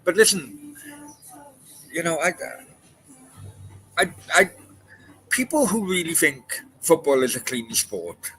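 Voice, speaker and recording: A man's voice: a little high, very animated, takes it slowly, pauses often, a bit bright, soft background music, poor audio. A man in his forties hosting a late-night chat, ranting bluntly and with lots of swearing. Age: 40s. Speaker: podcast host.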